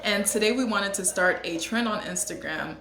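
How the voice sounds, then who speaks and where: A woman's voice: mid-range voice, moderate pace. Chatty woman in an echoey room. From United States. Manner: chatty, laughs often. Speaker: hairstylist and co-host. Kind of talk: casual chat.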